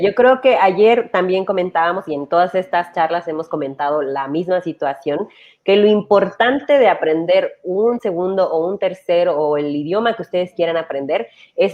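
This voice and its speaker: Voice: mid-range voice, moderate pace. Interviewer with muffled audio. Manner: supportive, short backchannel replies. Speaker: interviewer host. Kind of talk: casual interview.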